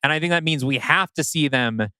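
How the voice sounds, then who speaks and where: A man co-hosting a podcast, casually critiquing a story and acting out imagined quotes in an animated way. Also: on the high side, a little fast, clear, resonant tone, no echo.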